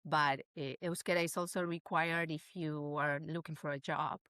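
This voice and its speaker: A woman's voice: sits low, steady tone, moderate pace, fairly bright tone, no room sound, studio quality. A woman from Chile with a Chilean Spanish accent, answering as a podcast guest in casual, conversational English.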